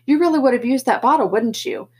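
A woman's voice: a little high, expressive, fast talker, slightly rough, pitched above the performer's own voice, heavily acted. A woman reading a story aloud, voicing a teenage boy who earnestly warns his younger friend. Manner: earnest, concerned.

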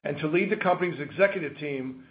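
A man's voice: a little high, fast. A man speaking as a company chairman, delivering formal prepared remarks over a telephone conference line. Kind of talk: prepared earnings call remarks. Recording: thin telephone-line audio.

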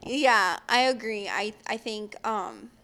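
A woman's voice: mid-range voice, slow, sharp tone. A woman in her twenties chatting casually with a friend, using lots of fillers and laughing easily. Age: 20s. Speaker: young mom in a casual chat. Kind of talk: casual chat.

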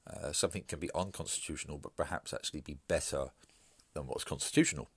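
A man with an extremely deep, low voice hosts his solo podcast, speaking in a measured, halting manner full of "uh"s and restarts. He sounds stuffy and bunged up from hay fever. The recording is close and dry. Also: husky, dry recording. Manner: measured, hesitant with fillers and restarts. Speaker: podcast host.

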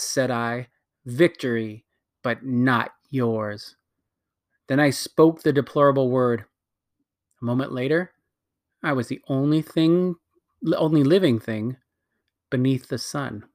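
A man voicing a proud, ruthless queen with a slow, stately, commanding delivery. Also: mid-range voice, no room sound, studio quality, natural voice.

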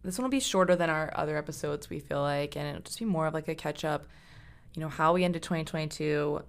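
A young adult woman co-hosting a podcast in casual chat, soft-spoken and quiet. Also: sits low, quick pace, creaky. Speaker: podcast co-host.

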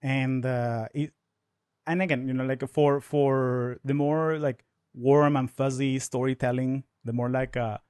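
Man, thirties, Spanish native speaker, podcast guest, fast rambling talk. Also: mid-range voice, fairly even intonation, darkish tone, clear, resonant tone, no room sound, clean studio sound. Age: 30s. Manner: fast, rambling, with many restarts and fillers. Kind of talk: casual interview conversation.

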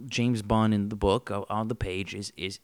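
A man's voice: lowish voice, fairly even intonation, moderate pace, clear, resonant tone, no echo. A man co-hosting a casual film discussion with a wry, blunt, playful way of talking. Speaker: podcast co-host.

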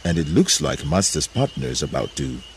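A man's voice: extremely low pitch, very animated, moderate pace, slight background noise, full character voice. A man voicing a dutiful, plain-spoken gorilla in a blunt, matter-of-fact manner in an animal story. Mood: concerned.

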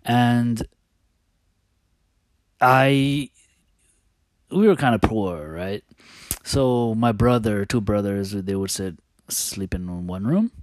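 A man talking casually as a host, telling personal stories in a halting, hesitant, confiding way. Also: lowish voice, no room sound.

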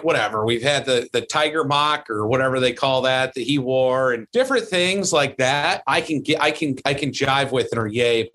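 A man's voice: a little high, fairly bright tone. Chicago guy in his 30s, midwest accent, chill rambling podcast talk, lots of "like". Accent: Midwest American. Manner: rambling, filler-heavy, easygoing. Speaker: podcast co-host. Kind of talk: casual podcast chat.

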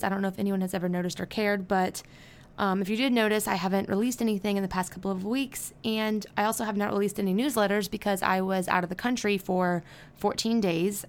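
A young American woman in her twenties talks through her podcast in a loose, rambling way, stopping for fillers and correcting herself as she goes. Her voice is smooth and clear at a mid pitch. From United States. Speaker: podcast host. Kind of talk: casual solo podcast chat.

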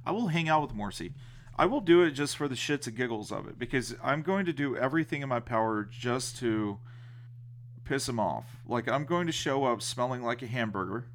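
A man's voice: mid-range voice, expressive, brisk. A man co-hosting a podcast in casual chat, joking and going along with his partner. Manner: joking, agreeable banter. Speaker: podcast co-host.

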